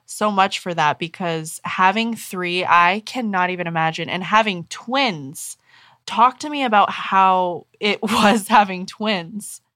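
A woman's voice: lowish voice, fairly bright tone, dry recording. A woman hosting an interview, gushing and encouraging toward her guest. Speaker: podcast host. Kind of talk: casual interview chat.

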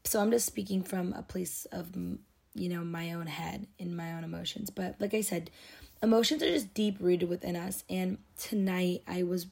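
A woman's voice: on the low side, fairly even intonation, moderate pace, darkish tone. A woman, hosting her own podcast in a rambling, confessional chat full of fillers. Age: 20s. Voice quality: raspy. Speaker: podcast host. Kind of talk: casual solo podcast monologue.

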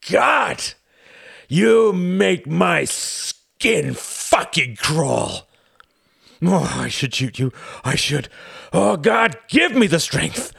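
A man voicing an older, cruel and mocking male Nazi count who shouts and cackles. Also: mid-range voice, vocal fry, slightly rough, dry recording, clean studio sound, pitched above the performer's own voice, heavily acted.

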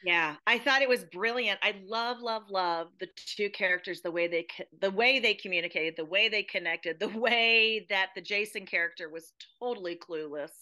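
An American woman chats as an interview guest. Her voice is very bright and medium in pitch, and her delivery is effusive and emphatic. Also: moderate pace, hardly any pauses. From United States. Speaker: guest playwright and educator. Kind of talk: casual interview chat.

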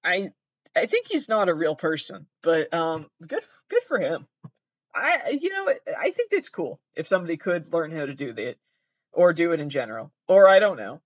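A man's voice: fairly high pitch, big pitch swings, normal speed, no room sound, muffled recording. A man hosting comedic commentary on pranks, rambling, swearing and joking at his own expense. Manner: rambling, swearing, self-deprecating.

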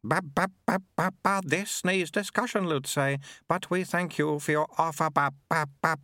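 A man's voice: on the high side, unhurried, bright, forceful tone, dry recording, clean studio sound, faint music behind, voice pushed up. A man voicing a serene old abbot in a baby's body who babbles between his words. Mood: calm.

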